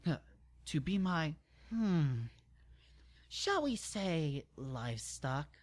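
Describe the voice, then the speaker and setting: A man's voice: a little high, big pitch swings, pitched above the performer's own voice, full character voice. A man voicing a smug, predatory female monster pony with mocking menace in an amateur dramatic reading. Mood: gloating. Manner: mocking, gloating, drawn-out menace.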